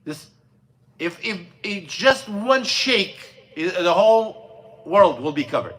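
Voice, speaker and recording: Bright-voiced man telling a story. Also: sits high, big pitch swings. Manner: storytelling, halting with many fillers and restarts. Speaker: imam. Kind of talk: mosque class talk. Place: mosque classroom.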